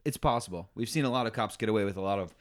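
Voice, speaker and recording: A man's voice: lowish voice, rapid-fire. A man co-hosting a podcast in casual chat, laid-back and a bit hesitant, swearing now and then. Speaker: podcast co-host.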